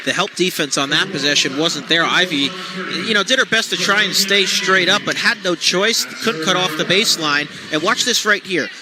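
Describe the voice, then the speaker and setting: High-pitched man on basketball color commentary. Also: moderate pace. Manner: analytical, explains plays in detail. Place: courtside in a basketball arena. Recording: noisy live arena broadcast.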